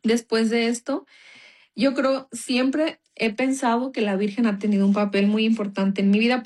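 A young Mexican woman with a Mexican accent, telling her personal faith story in a casual, chatty way full of small fillers. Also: mid-range voice. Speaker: guest giving a testimony.

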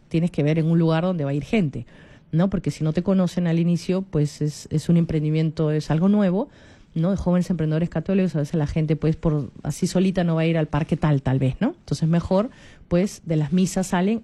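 A woman in her forties with a Peruvian Spanish accent talks on a radio program. Her voice is mellow and soft. She is chatty, keeps adding little tag questions and restarts her phrases as she goes. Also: sits low. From Peru. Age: 40s. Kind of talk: casual radio talk.

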